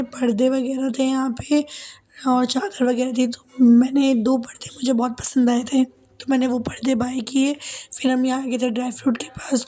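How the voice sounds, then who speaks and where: A young woman narrating her shopping vlog in a chatty, casual way, her voice a little hoarse from a sore throat. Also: high voice, dark tone. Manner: chatty, many fillers and "ठीक है" asides. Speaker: vlogger.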